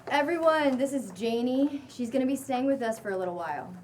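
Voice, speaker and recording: A woman's voice: high voice, animated, fairly quick, far from the mic, noisy background. A woman at a table read playing a beaming, friendly, upbeat housemate who is a social media shaman. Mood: upbeat announcing. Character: beaming, welcoming nonbinary TikTok shaman housemate. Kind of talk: table read acting of a pilot script.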